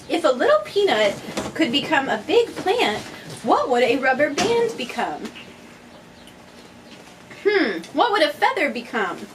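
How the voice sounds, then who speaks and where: High, animated woman reading to children. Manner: addresses listeners with questions. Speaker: parent reading aloud to children. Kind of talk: children's picture book read-aloud. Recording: noisy, distant microphone.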